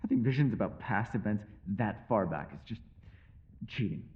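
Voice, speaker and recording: A man's voice: lowish voice, lively intonation, moderate pace, breathy edge, lo-fi sound. A man playing a mysterious, learned elf in a fantasy ASMR roleplay, talking to the listener in a bookish, rambling and playfully formal way. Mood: worried, secretive. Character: mysterious, learned male elf, talkative and gently teasing.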